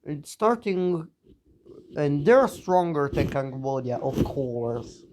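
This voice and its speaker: A man's voice: on the high side, expressive, takes it slowly, a little mellow, slight background noise. A young man narrating a map scenario in a casual, rambling way, with offhand teasing asides; he is a bit sick. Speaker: mapping video narrator.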